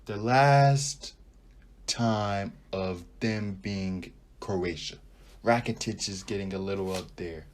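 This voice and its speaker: Young man, low voice, casual sports podcast host, rambling, animated asides. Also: slow. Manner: animated, rambling, thinks aloud with frequent asides. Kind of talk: casual sports talk monologue.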